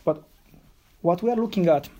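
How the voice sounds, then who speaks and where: A man being interviewed as a city's digital officer, speaking in a measured way with frequent ums and hesitations. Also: high voice, mellow, lo-fi sound. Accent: non-Irish, foreign. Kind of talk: podcast interview answers.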